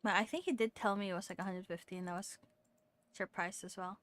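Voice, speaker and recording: A woman's voice: medium pitch, fairly even intonation, quick pace. A young woman chatting casually as she streams a game. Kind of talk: casual chat while live streaming.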